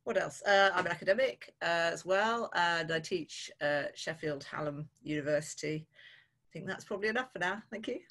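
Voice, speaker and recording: Woman poet and academic on a video call, bright forceful voice, brisk with pauses. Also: on the low side. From Yorkshire. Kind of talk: festival introduction over video call.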